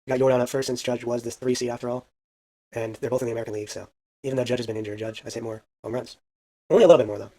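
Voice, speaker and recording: A man's voice: medium pitch, fairly even intonation, rapid-fire, mellow. A man casually commentating a baseball video game, counting hits aloud and adding self-deprecating asides. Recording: faint background music.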